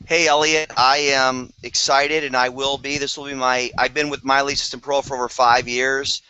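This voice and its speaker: Enthusiastic man with a bright, clear voice. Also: mid-range voice, flat delivery, normal speed, no room sound. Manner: enthusiastic, rambling with fillers. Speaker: business team leader on a video call. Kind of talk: casual group video chat. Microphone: video call. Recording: dry, slightly dull call audio.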